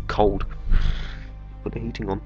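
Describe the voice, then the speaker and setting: A man's voice: lowish voice, darkish tone, muffled recording. A young man with a cold, chatting casually through a let's play of a game, swearing now and then. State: bunged up with a cold. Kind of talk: casual gameplay commentary. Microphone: desktop microphone. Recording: game music playing underneath.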